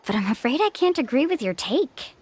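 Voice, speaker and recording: A woman's voice: high-pitched, very animated, brisk, a little mellow, slightly rough, heavily acted. A woman playing a confident, friendly young adventure guide in a game, encouraging and earnest. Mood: earnest, calm. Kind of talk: animated game voice acting.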